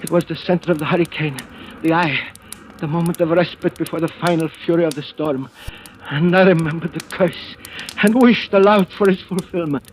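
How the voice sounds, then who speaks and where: A man's voice: fairly high pitch, fairly even intonation, moderate pace, slight background noise, close to an everyday voice. An actor playing a frantic, greedy old man in a 1940s radio drama, overwrought and prone to pleading and stammering. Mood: tense, haunted narration. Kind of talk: 1940s radio drama acting, first-person narration. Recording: old radio recording with music behind.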